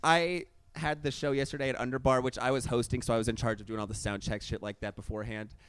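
A young man, a stand-up comic co-hosting a podcast in his living room, talking loudly and joking with frequent laughs. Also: medium pitch, level tone, fast. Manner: loud, joking, laughs often. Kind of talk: casual chat.

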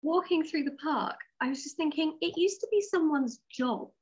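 A woman's voice: sits high, normal speed, lo-fi sound. A woman talking casually as a writer being interviewed. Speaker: interview guest, science fiction writer.

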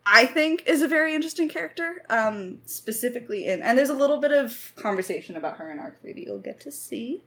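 A woman's voice: high-pitched, lively intonation, fast, few pauses, a bit bright. A woman talking casually and reflectively as a writer in a Q&A, with some self-deprecating humour. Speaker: lead writer of an audio drama. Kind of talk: casual Q&A discussion.

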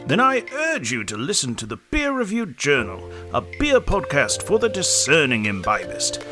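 A man's voice: a little high, huge pitch swings, normal speed, over music. A man playing a pompous, self-important British actor reading a mock-grand podcast advert in a British accent. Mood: grandiose. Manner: pompous, theatrical.

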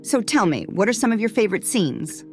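A man's voice: high voice, big pitch swings, a little fast, faint music behind. A man interviewing a guest, putting questions and responding to the answers.

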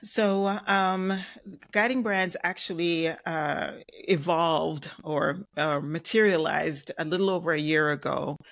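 A middle-aged woman from Jamaica with a light Jamaican accent, a guest talking in a friendly, measured way with many pauses. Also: low-pitched, gravelly, a bit bright, dry recording, clean studio sound. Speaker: guest, web designer and digital marketer. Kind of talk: casual interview chat.

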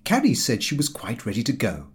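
A man narrating a comic diary novel as an audiobook, in a formal, measured and precise storytelling manner. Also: medium pitch, wildly swooping pitch.

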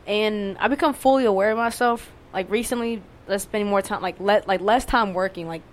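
A woman's voice: mid-range voice, normal speed, slight background noise. Candid young woman, casual swearing. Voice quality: monotone voice. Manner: candid, frequent swearing and fillers. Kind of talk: casual conversation.

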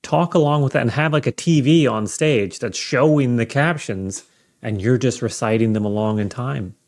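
A man's voice: medium pitch, normal speed, a little mellow. A male podcast co-host in casual chat, giving short reactions and then laying out an idea with some animation.

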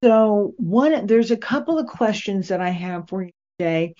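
A woman interviewing a guest in a deliberate way, pausing between phrases. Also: mid-range voice, animated, dark tone. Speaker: interviewer, radio drama maker. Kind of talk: unhurried interview talk. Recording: dull, lo-fi sound.

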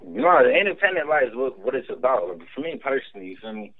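A man's voice: medium pitch, quick pace, no room sound, phone-quality sound. A man appearing as a rapper guest, talking bluntly and emphatically with plenty of swearing. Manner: blunt, emphatic, profane, animated. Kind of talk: casual interview talk.

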